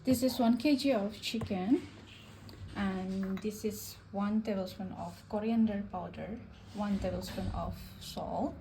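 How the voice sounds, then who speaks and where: A woman's voice: medium pitch, measured pace, gravelly, dark tone. A young woman from Indonesia explaining a home cooking recipe step by step in a casual, friendly way. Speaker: cooking vlogger. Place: home kitchen, stove frying. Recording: distant mic, audible sizzling kitchen noise.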